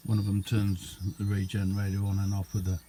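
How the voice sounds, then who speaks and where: A man's voice: on the low side, completely flat delivery, brisk, dark tone, a little hiss or hum. A man giving a casual, unscripted show-and-tell as a hobbyist, rambling along in an understated way. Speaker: hobbyist demonstrating a homemade radio.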